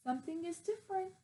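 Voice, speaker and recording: High-pitched, very animated woman teacher. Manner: expressive, exaggerated emphasis for children. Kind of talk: read-aloud for young children.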